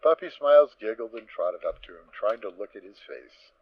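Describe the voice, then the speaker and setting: A man's voice: on the low side, animated, normal speed, muffled recording. A man reading scripted story narration for an amateur audio drama.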